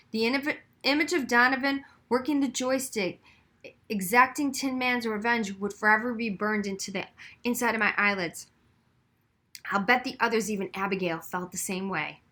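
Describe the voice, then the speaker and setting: A woman narrates a children's novel aloud. Her voice is bright and forceful, sitting a little high, and she tells the story expressively. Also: moderate pace. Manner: narrates expressively, acting out dialogue. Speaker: audiobook reader.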